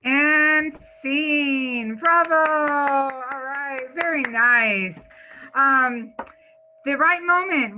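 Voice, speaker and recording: Very bright, high-voiced woman announcing slowly. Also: highs cut off. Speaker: play director and presenter. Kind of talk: announcing a curtain call.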